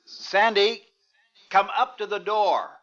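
A man's voice: sits high, animated, slow, a bit bright, heavily acted. An actor playing a young American father in a mid-century radio drama, firm and coaxing but tense and impatient. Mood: coaxing, insistent. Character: tense young father trying to stay calm. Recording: dull, noisy old radio recording with clicks.

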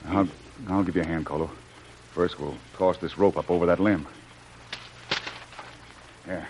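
Low-voiced jungle adventure hero. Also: male voice, fairly even intonation, unhurried, a little mellow. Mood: concerned, reassuring. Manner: take-charge, reassuring. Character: Jungle Jim, brave, protective male jungle adventurer hero. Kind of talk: old-time radio adventure serial acting. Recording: noisy old radio drama recording.

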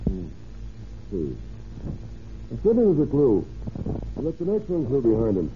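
An actor playing a confident, methodical private detective in an old-time radio drama, musing and deliberate. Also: male voice, mid-range voice, wildly swooping pitch. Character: methodical, confident male private sleuth dictating to his secretary. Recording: tinny, noisy vintage radio recording.

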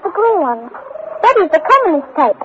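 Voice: very high-pitched, very animated, normal speed. An actor playing a precocious, chatty and polite French boy who lectures precisely in an old radio drama. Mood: bright, polite. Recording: noisy, muffled old radio broadcast.

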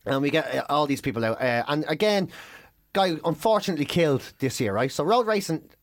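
A man from Ireland with an Irish accent, co-hosting a casual podcast, talking in an animated, ranting way with some swearing. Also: fairly high pitch, a little fast, dry recording, studio quality. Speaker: podcast co-host.

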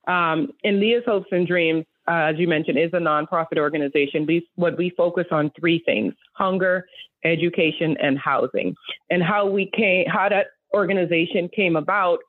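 A woman's voice: lowish voice, moderate pace, no room sound. Woman telling her story on a tinny line. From Bahamas. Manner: earnest, reflective, frequent restarts and soft laughs. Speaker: guest, nonprofit founder. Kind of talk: podcast interview storytelling. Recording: tinny phone-like line, dry.

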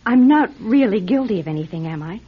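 A woman acting a nervous female accomplice in a radio drama, with a husky voice. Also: medium pitch, lively intonation, a little fast, slight background noise, lightly acted. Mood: uneasy. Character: nervous female accomplice feigning grief, eager yet uneasy. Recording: dull, lo-fi radio recording.

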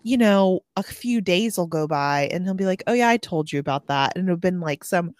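Gal chatting books w a friend, lowish voice, acts out quotes, super dry recording. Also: female voice, normal speed, dry recording. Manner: chatty, animated storytelling with acted-out quotes. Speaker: book podcast co-host. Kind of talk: casual chat about a novel.

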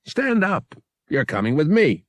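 A man's voice: fairly high pitch, very animated, a little slow, no room sound, studio quality, pitched above the performer's own voice. A man voicing a young bounty hunter in an audiobook, tough and smug, giving blunt, slangy, threatening orders.

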